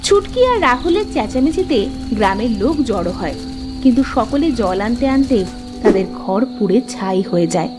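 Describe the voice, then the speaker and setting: A woman narrating a scripted fairy tale for an animated cartoon. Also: a little high, normal speed, over loud music.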